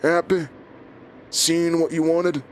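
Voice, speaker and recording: A man's voice: fairly high pitch, fairly even intonation, slow pace, a little hiss or hum. A man playing a smug, taunting old enemy in a horror audio drama, clipped, mocking and menacingly casual.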